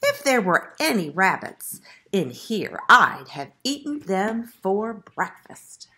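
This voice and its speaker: Hungry male fox for a storybook, played by a woman: blustering, gullible, growly, bright and forceful. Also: mid-range voice, sing-song, takes it slowly, exaggerated voice. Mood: gloating. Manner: emphatic, growling, easily exasperated. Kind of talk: children's storybook read-aloud.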